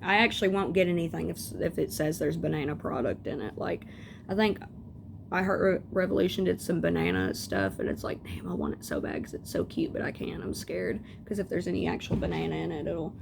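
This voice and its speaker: A young woman with a gravelly, scratchy voice and a drawl, chatting casually and drifting from one tangent to the next. Her voice sits fairly low for a woman. The home recording has a noticeable hiss running under her. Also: expressive, a little fast. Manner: rambling, chatty, tangents and mild swearing. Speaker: beauty vlogger. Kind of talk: casual chat.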